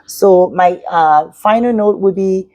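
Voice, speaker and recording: A woman's voice: medium pitch, slow pace, a little mellow, dry recording. A middle-aged woman from Nigeria whose first language is Yoruba, speaking as an educator guest in an interview and giving earnest advice to parents. She repeats her points for emphasis.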